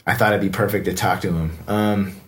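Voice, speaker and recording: A man's voice: low-pitched, fairly even intonation, fairly quick. A man with an American accent hosting a podcast in a casual, conversational interview style. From United States. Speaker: podcast host.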